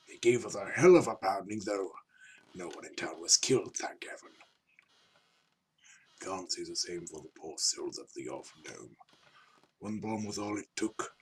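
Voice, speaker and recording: Man playing a gruff, low-voiced old islander. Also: rough recording. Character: grumpy old island uncle recounting wartime bombing. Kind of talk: audiobook character acting.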